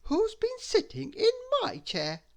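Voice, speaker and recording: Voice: extremely high pitch, huge pitch swings, slow pace, creaky, voice pushed up, exaggerated voice. A storybook reader voicing a medium-sized mother bear asking pointed questions. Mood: questioning.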